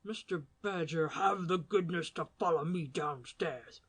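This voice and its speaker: A woman reading an audiobook, voicing a grave, secretive gentleman who speaks low, hushed and stiff. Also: unhurried.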